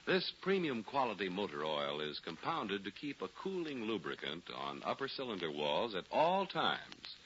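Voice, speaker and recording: A man's voice: fairly low pitch, huge pitch swings, moderate pace, few pauses, a bit bright, a little hiss or hum. A man announcing on a 1940s radio show, with polished, scripted, salesmanlike delivery. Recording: muffled 1940s radio broadcast recording.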